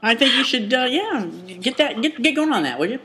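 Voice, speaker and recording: A woman's voice: high-pitched, sing-song, a little fast, bright, forceful tone. A woman co-hosting a podcast in casual banter, playful and salty-tongued. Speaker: podcast co-host.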